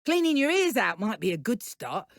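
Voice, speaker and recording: A woman with a British accent playing a haughty, mocking dark witch villain in a video game. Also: on the high side, sing-song, normal speed, gravelly, dry recording, studio quality. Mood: scolding, exasperated. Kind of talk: video game combat voice lines.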